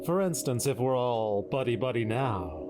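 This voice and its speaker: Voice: mid-range voice, expressive, normal speed, lightly acted. A performer in an audio drama playing a vain, flirtatious personified emotion with a playful drawl. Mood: teasing, smug. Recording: loud music behind the voice.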